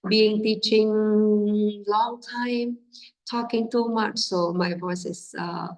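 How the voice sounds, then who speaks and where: Woman teacher, Vietnamese first language, husky voice, slow and halting, mic a bit far off. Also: mid-range voice, fairly even intonation, a little mellow. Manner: halting, many fillers, non-native English. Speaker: English teacher training other teachers. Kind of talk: informal teaching guidance. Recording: distant from the mic.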